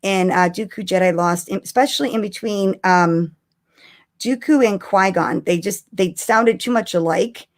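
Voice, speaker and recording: A woman co-hosting a casual live-streamed chat about fandom news, talking in an animated, chatty way with exclamations. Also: medium pitch, moderate pace. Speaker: podcast co-host.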